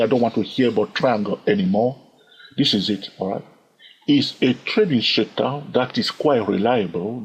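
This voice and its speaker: Animated man teaching trading, husky voice. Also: highs cut off. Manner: repeats "all right?" to the viewer, asks questions; animated, sudden upward pitch jumps. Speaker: trading educator. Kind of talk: screen-share trading lesson.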